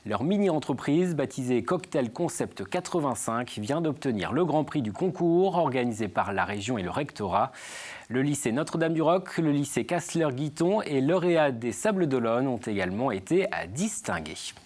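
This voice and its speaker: A man anchoring a regional television news bulletin in a steady, measured newsreading voice. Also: mid-range voice.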